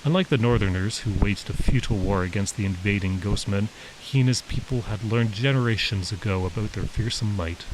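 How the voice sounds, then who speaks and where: Male author narrating his fiction, lower-pitched voice, recorded with faint steady hiss. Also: a little fast, hardly any pauses. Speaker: author reading own story.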